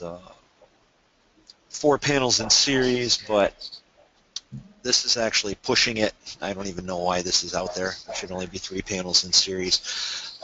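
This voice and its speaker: Man, low bright voice, technical webinar presenter, casual explaining, faint noise.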